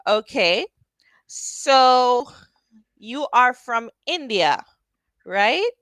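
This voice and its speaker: A woman tutoring English over a video call, speaking slowly and patiently and asking simple questions. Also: a little high, animated, fairly bright tone, no room sound, rough recording. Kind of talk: casual tutoring conversation.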